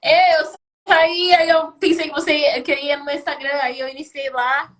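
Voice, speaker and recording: A woman's voice: high-pitched, level tone, moderate pace, a bit bright. A young Brazilian woman with a Brazilian Portuguese accent, chatting casually as a guest on a live stream and asking lots of questions.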